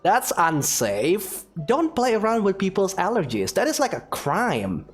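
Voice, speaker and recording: A woman's voice: medium pitch, sing-song, a little slow, husky, faint music behind. A woman gamer giving casual, wry and playful commentary while reading some game dialogue aloud. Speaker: gaming streamer.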